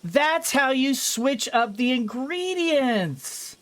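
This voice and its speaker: A gaming video host giving casual let's-play commentary, thinking out loud in fragments with playful asides. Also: high voice, sing-song, slow.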